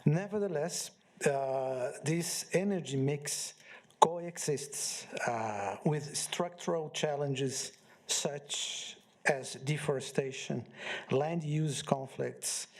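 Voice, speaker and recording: A man from Brazil, a law professor on a conference panel, speaking in a measured way with pauses and fillers. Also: on the high side. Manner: measured, pausing, frequent fillers.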